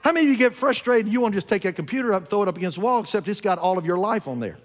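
A fifty-year-old man preaching a sermon to his congregation, emphatic, swinging between loud peaks and quiet asides and asking them questions. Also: high-pitched, expressive, very fast. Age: 50s. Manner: addresses congregation directly with questions. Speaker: pastor. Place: church sanctuary.

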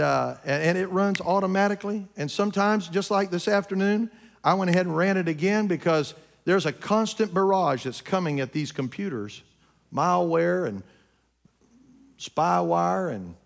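A fifty-year-old man preaching a sermon to his congregation, emphatic, swinging between loud peaks and quiet asides and asking them questions. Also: high-pitched. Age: 50s. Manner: addresses congregation directly with questions. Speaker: pastor. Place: church sanctuary.

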